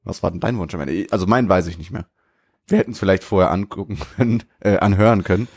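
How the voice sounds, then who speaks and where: A man's voice: deep voice, fast talker, darkish tone, breathy edge, no echo, studio quality. A man co-hosting a podcast, chatting and improvising a story, laughing easily. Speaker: podcast co-host. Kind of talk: casual chat and improvised storytelling.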